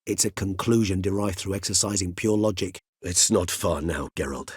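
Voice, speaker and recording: An actor playing a courteous, erudite, world-weary centuries-old vampire in a video game, speaking in measured, eloquent, formal phrases. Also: male voice, sits low, breathy edge, dry recording. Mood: calm, earnest. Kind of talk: video game character dialogue.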